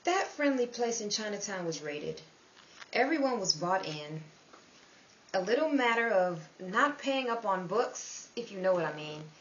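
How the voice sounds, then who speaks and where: A woman's voice: medium pitch, fairly bright tone, natural voice. A woman playing a world-weary, streetwise narrator of a 1930s underworld tale, in dramatic old-time radio style. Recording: echoey, distant mic, dull, faint hiss.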